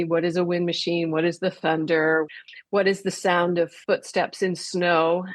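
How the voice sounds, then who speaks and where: A woman with a fairly low voice speaks as a guest in a conversational radio interview. She explains things through vivid, detailed examples. The sound is close and dry, with no room around it. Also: no echo. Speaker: interview guest, theatre artistic director.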